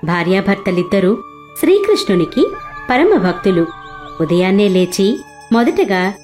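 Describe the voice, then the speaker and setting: A woman's voice: mid-range voice, sing-song, measured pace, darkish tone. A woman storyteller reading a scripted devotional moral tale as narration. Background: background music.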